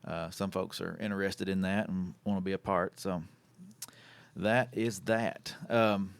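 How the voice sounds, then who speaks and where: A man's voice: low voice, steady tone, unhurried, creaky, clean tone, no echo. A man hosting a casual radio and podcast chat in a folksy, plain-spoken, informal way. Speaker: show host.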